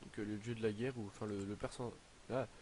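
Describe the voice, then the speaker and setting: A man's voice: on the low side, steady tone, fast talker, a little mellow, slight background noise. Hesitant teenage boy, slightly stuffy. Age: teens. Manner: hesitant, lots of "donc, euh" fillers. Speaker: gaming YouTuber. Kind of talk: casual game commentary. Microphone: headset.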